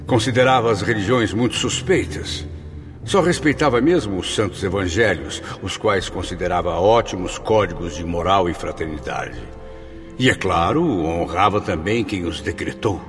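A proud, brooding intellectual spirit in a radio play. His low voice is formal and theatrical, carried in long reverb with clear music underneath. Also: lively intonation, normal speed. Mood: brooding, regretful. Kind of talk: radio drama acting.

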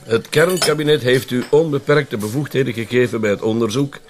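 A man's voice: medium pitch, fairly quick, lightly acted. A man with a Flemish accent acting an affable, conspiratorial police colonel in a radio drama, often chuckling. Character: genial senior police colonel, confiding.

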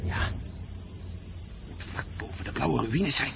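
Casting: wry, wary Dutch adventurer, lively swings in pitch, old radio drama, heavy noise and crickets. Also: male voice, slow, creaky, heavily acted. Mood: tense, excited. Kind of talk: Dutch radio play acting. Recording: noisy old radio drama with outdoor ambience.

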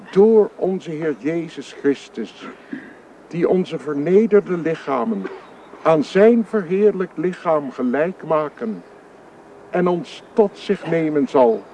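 Radio drama: solemn Protestant minister, grave and oratorical, fairly high male voice, very unhurried, faint noise. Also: darkish tone. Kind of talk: radio play acting.

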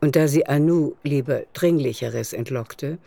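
Mellow, creaky-voiced woman narrator. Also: sits low, unhurried. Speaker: narrator of a radio play. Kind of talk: scripted literary narration. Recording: faint music under narration. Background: faint music.

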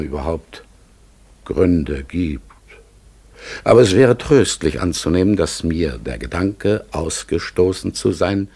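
Radio play raven: low, creaky, solemn, pensive, gentle and sad. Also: male voice, full character voice. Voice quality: husky, gravelly. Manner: slow, solemn, halting, pensive. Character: melancholy, gentle raven being living among humans, speaking their language. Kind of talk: literary radio play acting.

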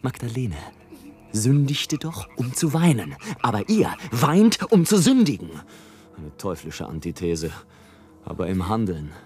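An actor playing a mocking, indignant balloonist who declaims and mutters theatrical asides in a radio play. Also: male voice, medium pitch, very animated, unhurried, darkish tone. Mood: scornful, indignant. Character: sardonic, indignant male balloonist watching people from above. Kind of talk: German radio play, literary monologue. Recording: music under the voice.

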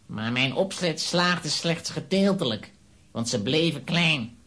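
An actor playing a sinister, boastful sorcerer villain in a children's audio drama, speaking in measured, menacing pronouncements. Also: male voice, fairly high pitch, expressive, sharp tone, exaggerated voice.

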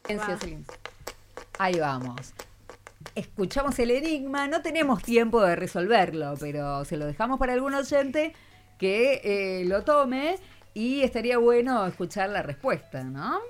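A woman's voice: mid-range voice, sing-song, a little slow. A woman with an Argentine accent hosting a live radio interview, informal and prompting her guests. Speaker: radio host.

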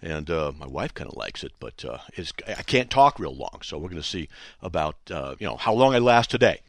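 Hoarse, scratchy male radio host. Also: extremely low pitch, big pitch swings, a little fast, dry recording. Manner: chatty and joking, breaking off to talk to people behind the studio window. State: hoarse, voice still shot. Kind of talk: call-in radio talk. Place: radio studio. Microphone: studio microphone.

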